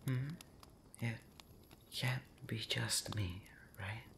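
A man speaking softly and breathily, often whispering, in an intimate ASMR roleplay monologue, rambling hesitantly with long pauses. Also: on the low side, steady tone, vocal fry, slight background noise. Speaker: audio roleplay voice actor.

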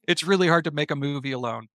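Bright-voiced man chatting as a guest. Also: on the high side, fast talker, dry recording, studio quality. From American Southeast. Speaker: podcast guest, writer and filmmaker. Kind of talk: casual interview chat, giving advice.

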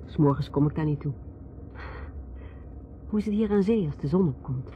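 A troubled, refined young widow played by an actress in a radio play, holding herself in reserve. The sound is dull and noisy. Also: female voice, deep voice, normal speed, lightly acted. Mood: polite. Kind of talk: radio drama acting.

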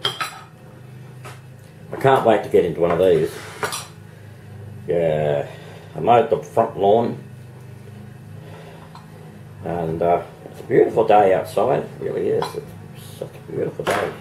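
A middle-aged Australian man with a hoarse voice, chatting casually to camera in a home vlog and laughing often between remarks. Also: low voice, takes it slowly, pauses often, mellow, slight background noise, poor audio. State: hoarse, losing his voice. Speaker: vlogger. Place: home, indoors and in a backyard. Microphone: camera mic.

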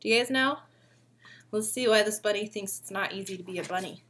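A young woman reading a picture book aloud to small children like a preschool teacher, expressively and often pausing to ask them questions. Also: medium pitch, background noise. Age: young adult. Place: living room at home.

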